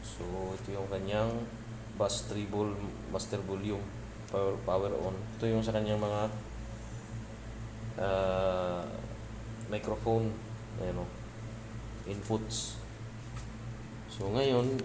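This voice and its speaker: A man's voice: fairly low pitch, steady tone, takes it slowly, pauses often. A Filipino man giving a casual hands-on electronics tutorial, informally pointing out parts as he goes. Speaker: electronics repair presenter. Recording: clearly noisy home-made video recording.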